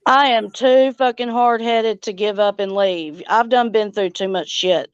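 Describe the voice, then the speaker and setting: A woman's voice: medium pitch, measured pace, clear, resonant tone, dry recording, lo-fi sound. A woman hosting a casual livestream chat in a blunt, folksy, salty way. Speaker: livestream host.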